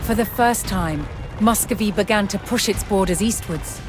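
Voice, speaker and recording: A woman reading scripted historical narration for a strategy game, in a measured, dramatic storytelling delivery. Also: mid-range voice. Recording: music under the voice. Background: background music.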